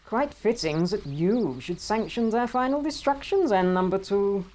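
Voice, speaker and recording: A woman playing an unsentimental female supervisor in an amateur audio drama, speaking in a crisp, matter-of-fact way. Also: mid-range voice, expressive, a little slow, a little mellow, close to an everyday voice. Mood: cool. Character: cool, unsentimental female supervisor, brisk and efficient.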